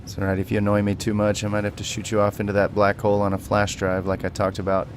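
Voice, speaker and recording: A man's voice: lowish voice, completely flat delivery, fast talker, slight background noise. A man giving casual, playful gameplay commentary as a video host, bantering as he goes.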